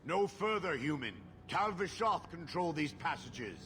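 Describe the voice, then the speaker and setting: An actor playing a proud, self-scorning outcast warrior in a video game, speaking in formal, clipped, deliberate sentences. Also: male voice, a little high, expressive, a bit bright, slight background noise. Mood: stern, warning. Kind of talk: dramatic video game acting.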